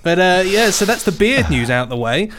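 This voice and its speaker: A man's voice: sits high, very animated, normal speed, a bit bright, a little hiss or hum. A man co-hosting a podcast in a casual, playful, mock-earnest manner. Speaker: podcast host. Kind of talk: casual comic chat.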